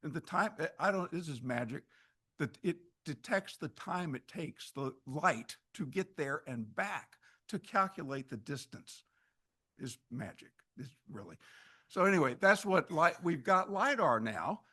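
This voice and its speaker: A man's voice: a little high, animated, unhurried, bright, forceful tone, breathy edge. A man presenting on forest ecology to a meeting audience in an informal, conversational way, often restarting phrases and adding wry asides. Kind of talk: informal slide lecture to an audience. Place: meeting hall at an annual meeting.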